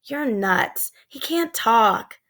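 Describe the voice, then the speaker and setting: A woman's voice: fairly high pitch, lively intonation, extremely slow, fairly bright tone, full character voice. A woman reads as a bookish young boy in a children's story. He sounds careful and skeptical, speaking with hesitation and doubt. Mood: hushed, wary.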